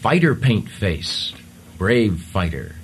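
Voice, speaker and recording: A man with a fairly low voice reads a stiff, formal text aloud in a deadpan manner. He chuckles at the wording between lines. A faint hiss lies under the old radio tape. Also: slow. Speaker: comedy radio performer. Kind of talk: improvised radio comedy, reading aloud.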